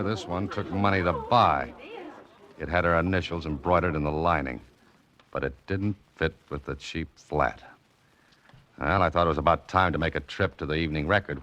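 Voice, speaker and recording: An actor playing a tough, dogged amateur sleuth in a 1940s radio detective drama, speaking and narrating tersely in a deadpan way. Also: male voice, a bass voice. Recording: muffled vintage radio recording with music underneath.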